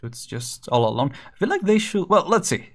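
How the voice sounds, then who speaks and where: A man's voice: fairly high pitch, big pitch swings, a little fast, a bit bright. A man casually commenting while streaming a story game, chatty, thinking aloud and laughing often.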